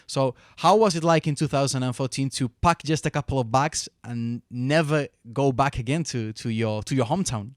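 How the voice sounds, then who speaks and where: Clear-voiced male interviewer. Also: medium pitch, moderate pace, hardly any pauses, no echo, clean studio sound. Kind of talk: conversational interview.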